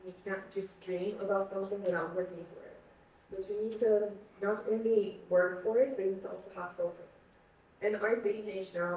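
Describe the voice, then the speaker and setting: A woman's voice: medium pitch, mellow, slight background noise. Earnest young woman in an echoey hall. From Egypt. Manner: earnest, repeats words while thinking. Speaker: guest speaker. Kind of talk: panel conversation. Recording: echoey hall, mic at a distance.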